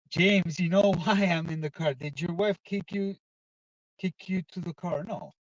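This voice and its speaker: A loud, excitable man with a slightly raised voice chats about football with his viewers, tossing in Italian phrases. He sits a little back from the mic, and the sound is dull and dry inside a small car. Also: sits high, takes it slowly, pauses often, dry recording, far from the mic. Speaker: live-stream football show host. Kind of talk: casual live chat answering viewers.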